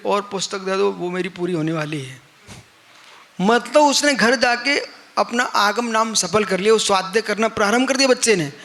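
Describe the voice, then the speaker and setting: A man's voice: high-pitched, a bit bright. A middle-aged man, a Jain monk, giving a conversational sermon to an audience, asking them questions and acting out the people in his stories. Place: live assembly hall.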